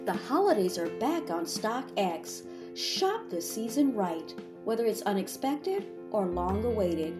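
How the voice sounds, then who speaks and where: Woman, advertising voice-over, scripted read, mid-pitched, music behind. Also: sing-song, unhurried. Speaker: voice-over artist. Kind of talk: scripted commercial narration.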